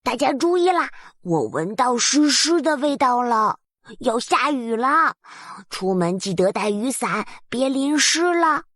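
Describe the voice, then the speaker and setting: A woman's voice: sits high, big pitch swings, unhurried, a bit bright, no echo, clean studio sound, exaggerated voice. A woman voicing a young, curious, eager puppy in a children's storybook, with a cute childlike voice and wide-eyed, earnest phrasing. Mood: eager announcing.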